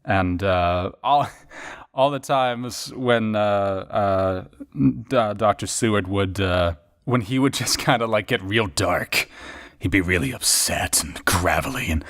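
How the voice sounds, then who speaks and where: A man's voice: lowish voice, takes it slowly, a bit bright. Casual, rambling male podcast voice actor. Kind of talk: casual reflective chat about acting work.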